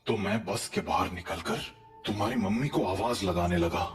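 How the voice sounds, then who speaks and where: Man playing a grave, low-voiced village father. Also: moderate pace, recorded from a distance, music in the background, natural voice. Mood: grave, sorrowful. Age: middle-aged. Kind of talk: Hindi audio horror drama acting.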